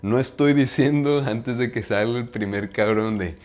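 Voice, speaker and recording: A man's voice: medium pitch, normal speed, darkish tone. A man from Mexico with a Mexican accent, talking casually to his viewers about self-improvement, often tagging his sentences with a questioning "right?" and using slang. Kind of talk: casual monologue to camera.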